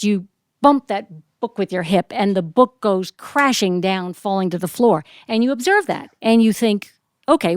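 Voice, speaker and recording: A woman's voice: medium pitch, no echo, studio quality. An American woman performing as a storyteller, telling a story live. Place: performance studio with audience.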